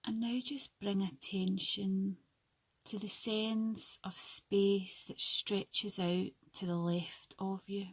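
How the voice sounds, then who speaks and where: A woman leads a guided yoga nidra for sleep at a very slow pace. Her voice is soft and breathy with a good deal of creak at the edges. She speaks in short, hushed, soothing phrases with long pauses between them. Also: mid-range voice, steady tone, dry recording, rough recording.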